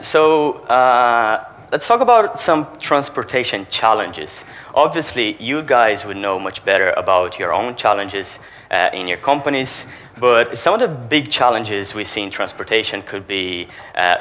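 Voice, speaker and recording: A man's voice: on the low side, pushed and bright, slight background noise. A man who speaks Portuguese as his first language, with a Portuguese accent, giving a conference presentation as a sales manager and explaining with rhetorical questions to the audience.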